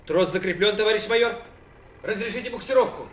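An actor playing a brisk soldier who urgently calls out orders and military reports in a radio drama. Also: male voice, high-pitched, natural voice. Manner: raised, urgent military calling. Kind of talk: Soviet radio drama acting. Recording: echoey, noisy radio drama field scene.

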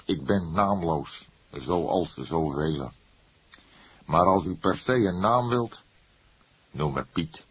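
A man's voice: low-pitched, lively intonation, slow, long pauses, a bit bright, husky, dry recording, heavily acted. A man voicing an insolent, evasive young street man of about twenty, offhand and drawling. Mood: bored.

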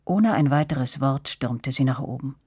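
Serious lady narrator for radio drama, really low husky voice, dry sound, measured storytelling, looking back on girlhood. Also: lively intonation, darkish tone, no echo, exaggerated voice. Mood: sombre, observant. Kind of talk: literary first-person narration in radio drama.

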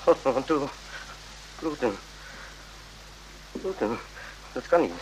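An actor playing a distraught, embittered military man in a radio play, talking in halting, agitated repetitions. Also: mid-range voice, animated, a little mellow. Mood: shaken, muttering. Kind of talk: Dutch radio play acting. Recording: noisy old radio drama recording.

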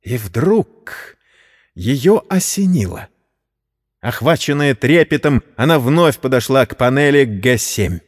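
Animated male storyteller with long reverb. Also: mid-range voice, slow. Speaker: narrator. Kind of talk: dramatic scripted story narration.

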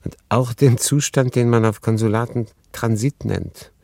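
An actor playing a melancholy, resigned refugee man in a literary radio play, speaking in a husky voice with sombre, measured phrasing and deliberate pauses. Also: mid-range voice, a little mellow, no echo, studio quality. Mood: sorrowful. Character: melancholy male refugee, resigned, recounting his story. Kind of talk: literary radio play, first-person narration and dialogue.

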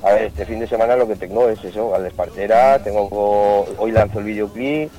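Chatty, low-voiced Spanish singer on radio. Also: male voice, steady tone, fairly quick, warm tone, rough recording. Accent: Andalusian-tinged singing drawl with Aragonese speech. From Zaragoza, Spain. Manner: chatty, rambling, colloquial. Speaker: singer guest. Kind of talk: casual radio interview.

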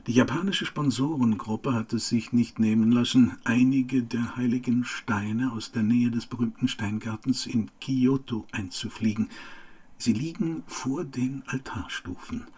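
An actor playing a pompous, gushing live reporter in a radio play, giving parodic event commentary. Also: male voice, medium pitch, a little slow, a little mellow, breathy edge.

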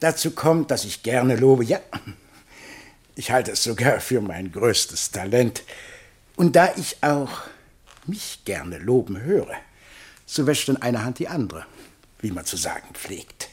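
An oily, self-pleased scholar in a literary radio drama. He speaks slowly in a husky voice that swoops widely, drawing out his elaborate flattery in a mannered way. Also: medium pitch, exaggerated voice. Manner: unctuous, mannered, over-elaborate. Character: fawning, slippery scholar who flatters everyone and himself. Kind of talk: theatrical radio play acting of literary text.

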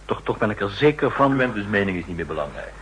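A man's voice: on the low side, animated, fast talker, fairly bright tone, slightly rough. An actor playing a deferential yet insistent male security official in a 1960s Dutch radio drama. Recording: noisy, humming 1960s radio recording.

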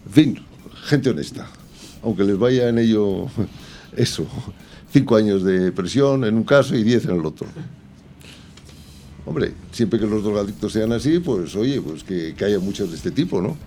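A man giving casual, amused radio commentary on news stories in a halting way. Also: fairly low pitch, expressive, dark tone. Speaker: radio show contributor. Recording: faint background noise.

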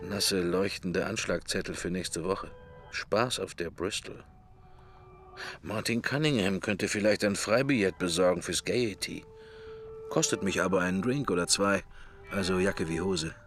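A man's voice: low-pitched, unhurried, fairly bright tone, a little hiss or hum. An actor playing a middle-aged man's drifting inner thoughts in a radio play, in murmured fragments. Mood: idle pondering. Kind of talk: radio play interior monologue.

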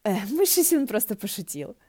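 Женщина озвучивает восторженного болтливого молодого бессмертного заклинателя в аудиокниге, оживлённо и театрально. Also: female voice, a little high, moderate pace, dry recording, clean studio sound, voice pushed up. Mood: eager. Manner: eager, dramatic, animated. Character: exuberant, chattering young man, an immortal cultivator. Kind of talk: fan fiction audiobook dialogue.

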